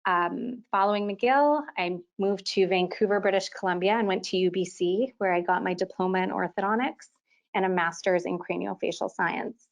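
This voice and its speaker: A woman in her thirties, from Quebec, Canada, presenting a continuing-education webinar as an orthodontist. She speaks in a clear, organized way and often opens her sentences with "so". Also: mid-range voice, moderate pace.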